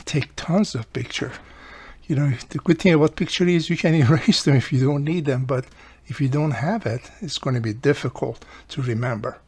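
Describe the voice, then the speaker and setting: A man casually hosting a hands-on repair tutorial, explaining step by step and repeating key points, with a gravelly, husky voice. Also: medium pitch, moderate pace, darkish tone, no room sound. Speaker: radio repair video host.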